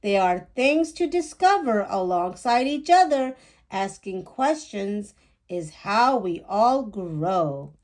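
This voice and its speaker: A woman reading a rhyming children's picture book aloud with deliberate, animated phrasing. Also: mid-range voice.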